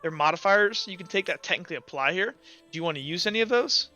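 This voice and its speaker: A man running a tabletop roleplaying game, explaining rules informally and haltingly as game master. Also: sits high, animated, over music.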